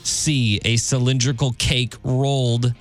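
A man's voice: medium pitch, flat delivery, takes it slowly. Male radio host running a quiz over music. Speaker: radio show host, quizmaster. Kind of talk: upbeat radio quiz banter, reading trivia questions. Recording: music bed behind the voice. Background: background music.

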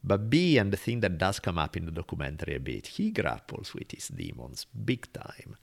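A man's voice: low-pitched, sing-song, normal speed, vocal fry. A man with an Italian accent talking casually and reflectively, in an earnest, emphatic way with some swearing. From Italy.

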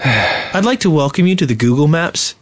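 A man's voice: fairly high pitch, expressive, normal speed. A man co-hosting a podcast, joking with mock drama in casual banter with friends. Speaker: podcast co-host.

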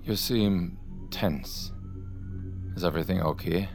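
Commanding officer: low creaky register, smooth probing courtesy, faint music behind, audio drama. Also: male voice, slow pace. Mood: probing, courteous.

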